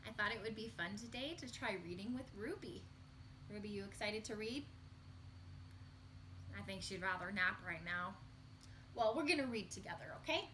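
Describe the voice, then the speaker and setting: A woman reading a picture book aloud to young children in an expressive, sing-song storytelling manner. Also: medium pitch, moderate pace, pauses often, a little hiss or hum.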